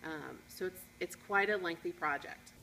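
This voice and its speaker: A young woman with a fairly low but bright, forward voice explains things briskly in an interview. She is seated in a warehouse with faint room noise behind her. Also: vocal fry, low-quality recording. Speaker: collections manager. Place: warehouse indoors.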